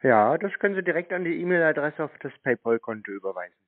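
A man's voice: fairly high pitch, sing-song, fast, no room sound. A man in a casual phone conversation, giving plain short replies and questions through a narrow phone-like sound. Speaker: scam baiter posing as customer.

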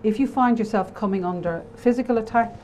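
A middle-aged woman with an Irish accent, a local authority guest on a TV studio panel, speaking in an earnest, measured way. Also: fairly high pitch, darkish tone, a little hiss or hum. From Ireland. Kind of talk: studio discussion. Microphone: lapel mic.

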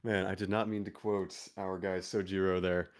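A man's voice: sits low, flat delivery, moderate pace. A man hosting a convention panel, joking and reading out fan questions. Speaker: panel host.

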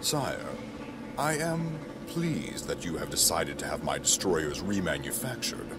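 Man playing a deep-voiced proud imperial governor. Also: big pitch swings, measured pace, heavy background noise, exaggerated voice. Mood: wary, deferential. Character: gruff, proud imperial governor, guarded with superiors. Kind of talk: science-fiction audio drama acting.

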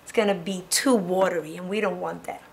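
A middle-aged woman teaching preschoolers in a classroom, talking young children through each step in an encouraging, animated way. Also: lowish voice, a little fast, vocal fry, a little hiss or hum. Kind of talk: children's educational demonstration. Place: indoor classroom.

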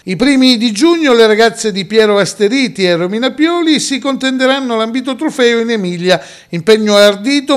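High-voiced male news narrator. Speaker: news voice-over reporter. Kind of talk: scripted narration.

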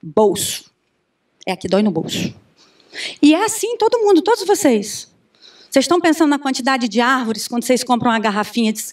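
A Brazilian woman in her thirties giving an animated conference talk on a handheld mic, often asking the audience questions. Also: fairly high pitch. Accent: Brazilian Portuguese. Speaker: conference speaker. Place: large conference room with audience. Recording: distant room sound from far camera.

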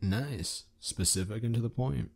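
A man's voice: mid-range voice, unhurried, gravelly, a little mellow. A man playing an eager, confident young male dragon in an audio drama. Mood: pleased. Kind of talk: audio drama character acting.

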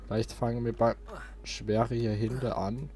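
A man's voice: mid-range voice, flat delivery, measured pace, warm tone. A man doing casual let's play commentary, thinking aloud with playful little interjections. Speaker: let's player, channel host. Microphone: close desk microphone. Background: game music.

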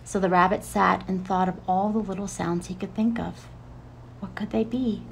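A middle-aged woman reading a children's picture book expressively, with drawn-out, dramatic phrasing. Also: medium pitch, fairly even intonation, gravelly, slight background noise, low-quality recording. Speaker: storyteller. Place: outdoors on a paved porch.

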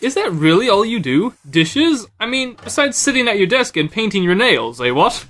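Comic audio drama: stuffy, pompous captain played by a man, very animated intonation. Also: sits high, moderate pace, full character voice. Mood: inquisitive, disapproving. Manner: stuffy, ending remarks with a verbal tic. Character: pompous, fussy, gun-loving old-fashioned captain.

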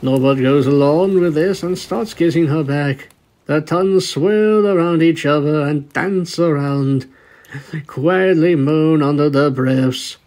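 A man's voice: fairly high pitch, slow, a little mellow. A man reading a scripted story aloud as its narrator. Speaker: narrator of a fan fiction reading. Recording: music bed behind the voice.

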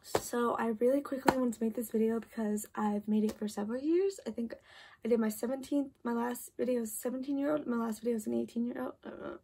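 Eighteen-year-old woman, sleepy bedroom vlog, rambling filler-heavy chat; mellow, gravelly voice. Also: on the high side, steady tone, a little fast. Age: 18, about to turn 19. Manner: chatty, lots of "like" and "literally", restarts. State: tired, late at night. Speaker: vlogger. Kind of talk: casual late-night chat to camera. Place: bedroom at home, indoors.

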